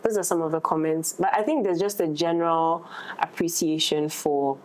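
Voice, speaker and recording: A woman's voice: low voice, fairly even intonation, moderate pace, darkish tone, poor audio. A woman with a Ghanaian accent chatting casually in a roundtable discussion, often repeating phrases for emphasis. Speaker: actress, discussion participant.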